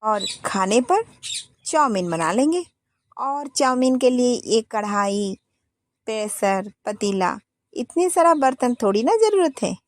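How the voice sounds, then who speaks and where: A woman's voice: fairly high pitch, animated, slow pace, dry recording, pitched above the performer's own voice. A woman doing a cheeky young daughter-in-law. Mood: scheming. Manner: eager, coaxing.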